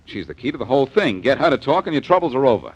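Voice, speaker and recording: A wisecracking radio detective. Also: male voice, medium pitch, big pitch swings, rapid-fire, breathy edge. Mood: cocky. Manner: sardonic, needling. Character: wisecracking tough male private detective, cocky. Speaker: detective lead. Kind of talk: 1940s radio acting. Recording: dull old radio recording.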